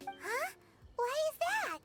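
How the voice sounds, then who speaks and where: Pint-sized game companion fairy girl. Childlike squeak, extremely high, bouncy intonation, excitable and anxious. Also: takes it slowly, heavily acted. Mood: chatty, curious. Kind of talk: video game voice acting. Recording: loud music behind the voice.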